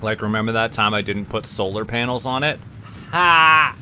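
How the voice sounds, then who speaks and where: A man's voice: fairly low pitch, moderate pace, sharp tone, a little hiss or hum. A man from Canada streaming a game, rambling and chatting with viewers and tripping over repeated words. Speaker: VTuber streamer. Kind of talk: casual gaming livestream chat.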